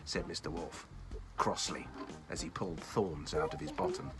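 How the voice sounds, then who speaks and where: A man hosting and reading a children's bedtime story in a playful, expressive, child-directed way. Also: rumbling low, normal speed, over loud music. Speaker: children's story reader and host.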